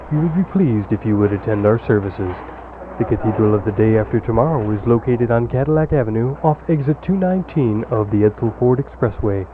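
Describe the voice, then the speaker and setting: Through a thin, noisy old radio recording comes a man acting as a folksy male church deacon. He delivers earnest promotional patter for a spoof radio ministry at a middling pitch. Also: narrow, tinny audio, close to an everyday voice. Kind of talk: parody radio ministry announcement.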